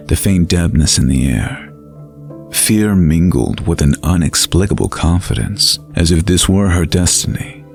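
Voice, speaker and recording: A man narrating a scripted fantasy bedtime story in a measured, atmospheric, dramatic way. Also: low voice, vocal fry.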